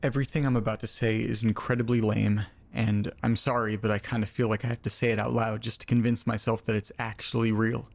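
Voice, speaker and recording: An actor playing a lonely, awkward, self-deprecating man with a gravelly voice, confiding haltingly in an audio drama monologue. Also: lowish voice, level tone, darkish tone, a little hiss or hum. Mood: sheepish, wistful.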